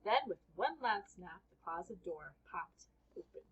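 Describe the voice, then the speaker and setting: A woman reading a children's book aloud as an expressive first-person storyteller. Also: lowish voice, measured pace, highs cut off, noisy background.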